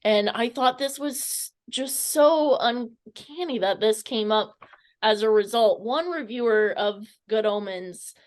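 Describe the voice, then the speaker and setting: A woman's voice: a little high, slow pace, darkish tone. Tentative woman interviewer, casual and rambling. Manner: tentative, rambling, stumbles over words.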